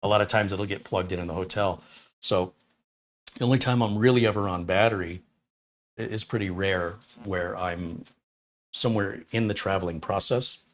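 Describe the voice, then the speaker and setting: A man's voice: sits low, moderate pace, a bit bright. A man in his fifties or sixties, from Detroit, hosting a casual live stream as a tech reviewer, rambling on and answering viewer questions with blunt opinions. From Detroit, USA. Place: small home studio room.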